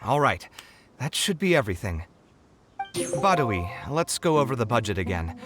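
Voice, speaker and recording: An actor voicing a young male architect in a game, earnest and meticulous, with brisk businesslike planning talk. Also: medium pitch, sing-song, close to an everyday voice. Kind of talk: game voice acting. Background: game music.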